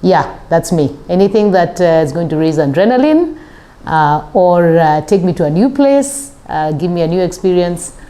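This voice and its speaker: A middle-aged Kenyan woman, a travel coach, talking casually in an interview with thoughtful pauses. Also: on the low side, lively intonation, warm tone. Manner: thoughtful, with many pauses and fillers.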